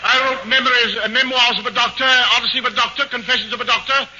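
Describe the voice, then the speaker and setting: An actor playing a flustered, blustering doctor who stammers, in a 1940s radio comedy. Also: male voice, very high-pitched, fairly even intonation, rapid-fire. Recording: muffled 1940 radio broadcast, faint hiss.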